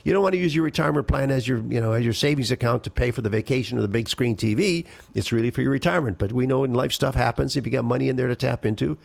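A male radio finance host who talks fast and with emphasis, pressing his points home. His voice is gravelly and middle-pitched, and the recording is dry and close, with no room sound. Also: dry recording. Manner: emphatic, quick advice-giving delivery. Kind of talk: call-in radio finance talk.

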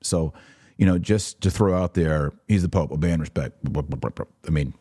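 Deep-voiced, bright man, emphatic commentator. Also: a little slow, breathy edge, no room sound, clean studio sound. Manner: emphatic, didactic, conversational. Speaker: podcast host and commentator. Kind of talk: live religious commentary, answering listener questions.

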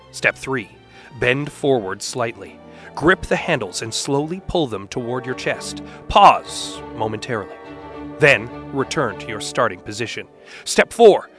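A man's voice: mid-range voice, fairly bright tone. A man reading scripted instructional text aloud as a staged dramatic reading. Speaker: announcer and reader. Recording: music behind the voice.

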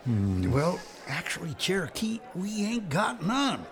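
A man's voice: sits high, wildly swooping pitch, a little slow, a faint crowd, full character voice. An actor playing an apologetic, eager-to-please frontier townsman with a rustic American drawl in a Western radio drama. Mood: sheepish.

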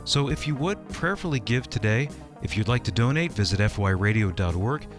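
Low-voiced man announcing a radio program. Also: expressive, brisk, over music.

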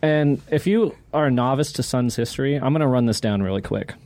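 A man's voice: mid-range voice, a little fast, darkish tone, a little hiss or hum. A man co-hosting a sports podcast in casual chat, talking emphatically and repeating phrases for dramatic effect.

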